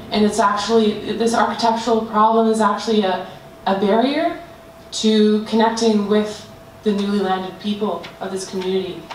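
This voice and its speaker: A woman's voice: mid-range voice, a little mellow. Woman presenting in an echoey room. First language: not Danish. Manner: hesitant, many fillers and restarts. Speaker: student presenter. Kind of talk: group design presentation. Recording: echoey room, mic far off, faint noise.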